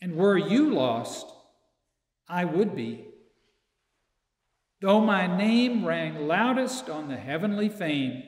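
An older man reading a poem aloud in a church chapel, slow and measured, from a sheet in his hands. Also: high voice, very animated. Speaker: poetry reader. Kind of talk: poetry reading. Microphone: far camera, stand mic in front. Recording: echoey church with long reverb.

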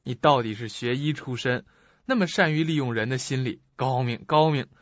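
A man's voice: medium pitch, normal speed, no room sound, lo-fi sound, lightly acted. A man playing a greedy, crooked lawyer in a suspense radio play, with an oily, ingratiating manner. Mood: gloating. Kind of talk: audio suspense drama acting. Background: music.

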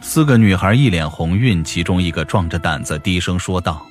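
Male audiobook narrator, low-pitched, dramatic storytelling over faint music. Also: lively intonation, a little fast. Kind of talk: expressive scripted fiction narration.

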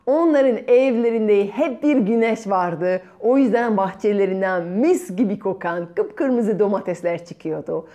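A middle-aged woman telling a children's fairy tale expressively, with dramatic pauses. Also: mid-range voice, warm tone. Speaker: children's storyteller. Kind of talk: performed fairy-tale storytelling. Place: bright room at home by a window. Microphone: small clip-on lapel mic.

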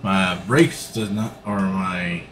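A man's voice: low-pitched, steady tone, slow pace, fairly bright tone. A middle-aged man, chatting casually and rambling while he plays a farming game on a livestream from his home setup. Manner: easygoing, rambling, talks while playing. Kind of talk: casual gaming livestream commentary. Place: home room, seated in a gaming seat.

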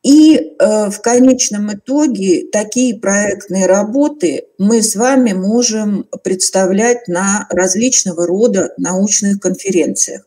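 Slow, mellow woman lecturing online. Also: mid-range voice, husky. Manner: halting, measured, formal. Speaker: teacher trainer giving a webinar. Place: indoor room, on a video call.

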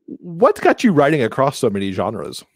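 A man's voice: medium pitch, wildly swooping pitch, moderate pace, no echo. A middle-aged man hosting a conversational interview, speaking into a close microphone. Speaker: interview host. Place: indoor room, on video call. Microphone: close desk microphone.

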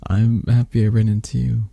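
A man playing a possessive, tender mafia boss lover in intimate roleplay ASMR, murmuring softly and hesitantly with a breathy, gravelly voice. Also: medium pitch, level tone, unhurried, no echo. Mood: tender, loving.

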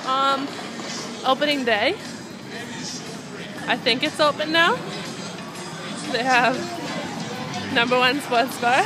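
A woman's voice: high voice, poor audio. A young woman chatting casually as she walks through a theme park, filming on a handheld phone and rambling with lots of ums. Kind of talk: casual walking tour commentary. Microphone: handheld phone camera at arm's length.

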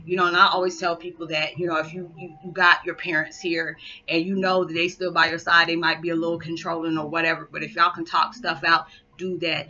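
A middle-aged woman sharing a candid, emphatic personal story in a vlog, talking to a webcam in a small living room. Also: lowish voice. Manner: candid, emphatic, animated hand gestures. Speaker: vlogger. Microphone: webcam built-in mic. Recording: dull lo-fi webcam audio.

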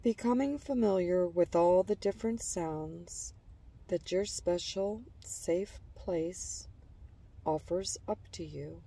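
A woman narrating a guided imagery relaxation in a calm, gentle, soothing manner, letting phrase endings trail softly. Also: on the low side, takes it slowly, husky, recorded from a distance.

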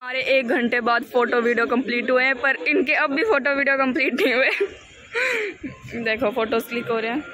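A woman's voice: high voice, steady tone, a little fast, dull audio. A young woman vlogging casually on a phone in a busy shopping mall, teasing her friends as she narrates. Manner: teasing, playful. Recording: loud crowd and mall noise behind.

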